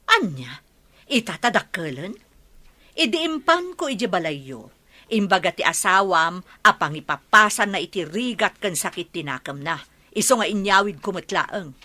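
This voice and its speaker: A woman's voice: mid-range voice, big pitch swings, normal speed, bright, forceful tone. A woman acting an indignant, scolding village woman in a radio drama, with an Ilocano accent. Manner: scolding, challenging with rhetorical questions. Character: indignant, scolding village woman who raised her brother's child.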